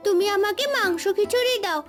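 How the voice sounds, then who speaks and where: A woman plays a kind-hearted little village girl in a cartoon. Her sweet voice is extremely high, with clear music playing behind it. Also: brisk. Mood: sweet, earnest. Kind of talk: cartoon voice acting.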